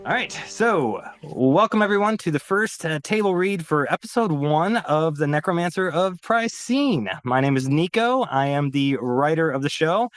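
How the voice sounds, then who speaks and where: Higher-pitched man hosting casually. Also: lively intonation, moderate pace, few pauses, dry recording, faint music behind. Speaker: writer and host. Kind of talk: casual chat introducing a table read.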